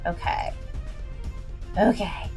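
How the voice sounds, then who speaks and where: A woman's voice: very slow, over music. A woman hosting a casual livestream, talking in a bubbly, playful way with folksy turns of phrase. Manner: bubbly and playful, with folksy greetings and sing-song muttering. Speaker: livestream host.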